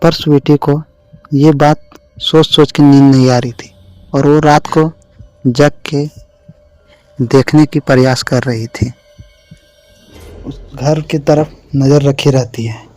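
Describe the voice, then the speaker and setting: Male horror storyteller, soft dark tone, unhurried, faint music underneath. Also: medium pitch, fairly even intonation, no echo, poor audio. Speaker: narrator. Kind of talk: scripted horror story narration.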